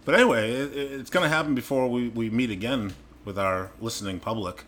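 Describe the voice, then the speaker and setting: A man's voice: mid-range voice, brisk, fairly bright tone, slight background noise. A man co-hosting a podcast, bantering playfully in casual chat from a small room. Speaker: podcast co-host. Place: small warm room without air conditioning.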